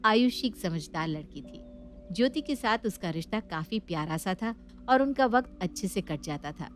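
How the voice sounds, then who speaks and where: A woman's voice: medium pitch, animated, moderate pace, slight background noise. A woman narrating a scripted family story as its storyteller.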